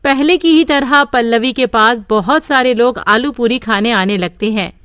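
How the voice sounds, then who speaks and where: A woman narrating a cartoon story in a plain, steady way. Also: fairly high pitch, a little slow, smooth, no room sound, clean studio sound. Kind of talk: scripted narration.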